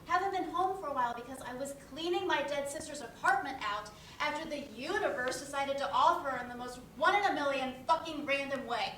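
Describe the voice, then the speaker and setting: A woman's voice: sits high, fairly quick, hardly any pauses, fairly bright tone. A woman playing a grieving young woman in a play reading, weary, defensive and terse, with a sardonic edge. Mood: angry. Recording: roomy, distant mic, noisy background.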